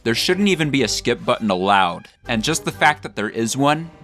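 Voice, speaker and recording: A man's voice: medium pitch, very animated, moderate pace, a bit bright, soft background music. A man delivering scripted satirical video commentary in a mock-serious way, with exaggerated theatrical emphasis. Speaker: video creator and narrator.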